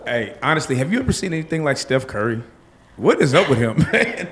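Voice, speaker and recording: A man from Nigeria co-hosting a casual interview, excitable and slangy, laughing as he talks. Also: mid-range voice, brisk, a little hiss or hum. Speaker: interview co-host.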